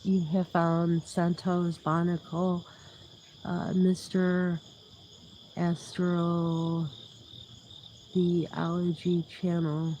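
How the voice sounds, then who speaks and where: Soothing, low-pitched woman rambling to viewers. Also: completely flat delivery, drawn-out words, long pauses, mellow, a little hiss or hum, rough recording. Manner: chatty, loses her train of thought, laughs often. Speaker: YouTube livestream host. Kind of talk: rambling casual chat with viewers.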